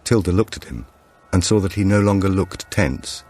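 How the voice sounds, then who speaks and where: A man reading a suspense thriller as an audiobook narrator, in a measured, scripted way. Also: sits low, darkish tone. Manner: measured, acts out dialogue.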